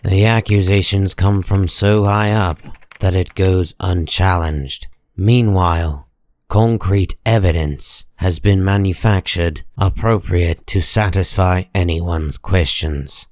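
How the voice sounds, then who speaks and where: Slow, very deep male narrator. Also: fairly even intonation, darkish tone, no room sound. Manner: grave, measured, deliberate. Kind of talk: dramatic scripted comic-book narration.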